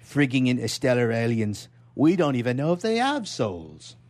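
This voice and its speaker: A man's voice: mid-range voice, big pitch swings, moderate pace, darkish tone, a little hiss or hum, close to an everyday voice. A man voicing an irritable, exasperated chief executive in a satirical short story read aloud for radio. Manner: tense, irritable. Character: Lucifer, dangerously calm, exasperated male corporate chief executive.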